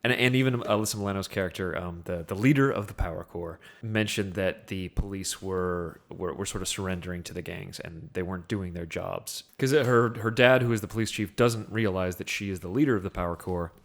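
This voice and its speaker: A man's voice: deep voice, brisk, few pauses. A man in a casual film discussion, rambling and thinking aloud as a podcast panelist.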